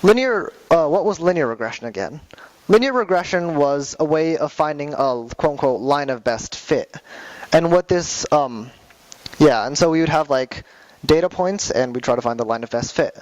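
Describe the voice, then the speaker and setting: A man's voice: fairly high pitch, expressive, moderate pace, no room sound, rough recording. A young man teaching a class, speaking with many fillers and restarts, recorded by a camera across a classroom. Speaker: class instructor. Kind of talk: classroom lecture. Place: classroom with projector.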